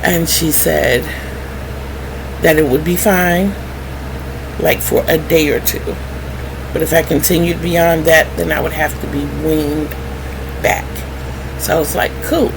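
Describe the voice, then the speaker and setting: A middle-aged woman vlogger shares personal stories at a very slow pace. Her voice sits a little low, and she is candid and wry, acting out remembered exchanges. There is clear noise behind her throughout the recording. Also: fairly even intonation. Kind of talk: candid personal storytelling to viewers. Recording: clearly noisy background hum.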